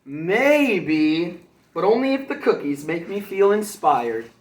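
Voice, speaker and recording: A man's voice: high-pitched, very animated, slow, distant mic, a little hiss or hum, heavily acted. Man playing a pompous grumpy unicorn, echoey room. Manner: pompous, exasperated. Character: grumpy, self-important male unicorn writer.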